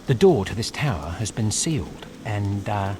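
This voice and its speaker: A man's voice: fairly low pitch, big pitch swings, moderate pace, warm tone, slight background noise. A man playing a sarcastic, exasperated, self-serving bard hero in a video game, with wry, hesitant asides. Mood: wry, uneasy.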